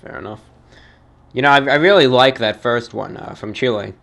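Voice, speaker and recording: A man hosting his own show, reading fan emails aloud and answering them in a formal, measured, somewhat halting way. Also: medium pitch, gravelly, a bit bright. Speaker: host reading fan mail. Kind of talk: radio-style monologue reading emails.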